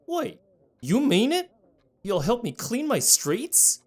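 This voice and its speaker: A man's voice: very high voice, wildly swooping pitch, slow, exaggerated voice. A man playing a stout, disheveled orc mayor in an audio drama, earnest and pleading. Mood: relieved, desperate.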